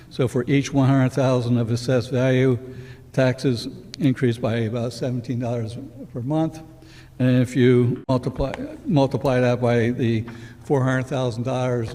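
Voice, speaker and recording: A man's voice: medium pitch, dark tone. A man presenting a city budget at a public meeting, explaining numbers step by step with small restarts. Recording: echoey hall.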